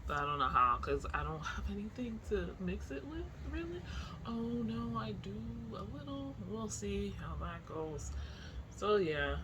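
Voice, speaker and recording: A middle-aged vlogger chats in an unhurried, candid way, as in a personal video diary. The pitch sits in a middle range and the wording is loose and informal. Clear ambient noise runs behind the voice. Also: big pitch swings. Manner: candid, informal, swears casually. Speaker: book vlogger. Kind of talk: casual chatty video diary.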